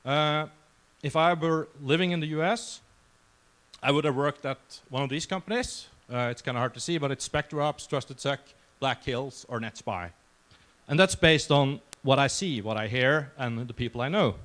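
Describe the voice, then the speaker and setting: A man's voice: medium pitch, moderate pace, a bit bright. A man of about forty from Norway, with a Norwegian accent, giving an informal, conversational conference talk to a crowd. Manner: informal, conversational, self-deprecating. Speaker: conference speaker. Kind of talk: casual conference presentation. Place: conference session room before a crowd.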